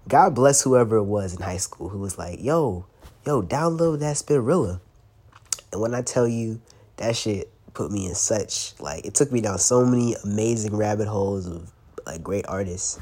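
A young man talks through a casual solo podcast while fighting a cold. His voice is congested and stuffy, sitting fairly low with a husky, breathy edge. He rambles in loose slang and swears freely. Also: animated, moderate pace, a little mellow. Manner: loose and slangy, swearing, laughing now and then. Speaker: podcast host.

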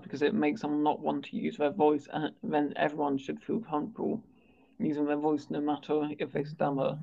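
A man with a stammer who speaks haltingly, repeating words and sounds as he hosts a conversational interview. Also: a little high, gravelly, a little mellow. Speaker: interviewer and stammering awareness campaigner. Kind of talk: conversational interview on a video call. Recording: dull, lo-fi video call audio.

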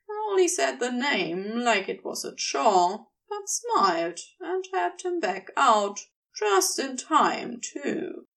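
A woman narrating fiction expressively, with a measured storytelling delivery and a creaky voice. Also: high voice, very animated, a bit bright, distant mic. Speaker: podfic reader.

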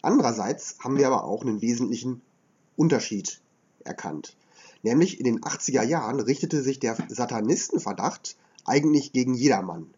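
A man with a slight cold and a hoarse edge, explaining history as a podcast host in a measured lecturing way with frequent filler sounds. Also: mid-range voice, animated, a little mellow, highs cut off.

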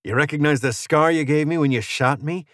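Cold, rasping gunslinger returned from the grave for revenge, folksy frontier drawl, close dry storybook sound man performing. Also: on the high side, fairly quick, fairly bright tone, no room sound, voice pushed up, natural voice. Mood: grim, accusing. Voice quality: raspy. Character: rasping cold vengeful gunslinger back from the dead.

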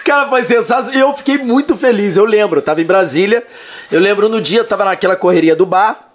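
Animated high-voiced man in his thirties. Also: fast talker. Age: 30s. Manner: animated, jokey storyteller. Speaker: podcast guest, lawyer. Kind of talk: casual chat.

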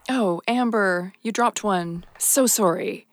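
An actress playing a tough, embittered policewoman in an audio drama, with a creaky voice and a defiant, determined manner. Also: mid-range voice, slow, very noisy, close to an everyday voice. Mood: combative. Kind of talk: naturalistic audio drama acting.

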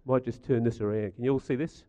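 A middle-aged man with a croaky voice, giving a chatty talk to a live audience and asking them questions. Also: medium pitch, steady tone, quick pace, warm tone, dry recording. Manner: chatty, stats-driven, asks the audience questions. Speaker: speaker at a community gathering.

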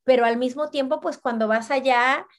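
Animated woman, fairly high voice. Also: moderate pace. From Mexico. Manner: animated, repeats words while searching for them. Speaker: guest. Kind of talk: casual conversation.

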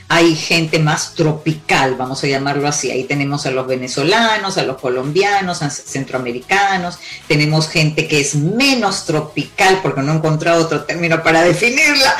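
A woman, born in Cuba and raised in Peru, giving emphatic relationship advice as an interview guest. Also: deep voice. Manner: emphatic, lively storyteller with sweeping declarations.

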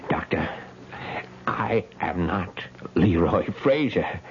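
A man's voice: low voice, animated, slow pace, creaky, full character voice. Panicked, stammering young man, noisy radio. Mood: desperate. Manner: stammering, gasping, pleading. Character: panicked young man trapped in an old man's body. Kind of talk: 1970s radio drama acting. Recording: dull, noisy old radio recording.

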